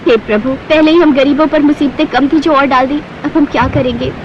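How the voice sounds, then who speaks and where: A woman's voice: high-pitched, fairly even intonation, a little fast, dull audio, slight background noise, voice pushed up, lightly acted. A woman voicing a humble, hardworking housemaid and mother with an earnest manner in a Hindi cartoon story. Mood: worried.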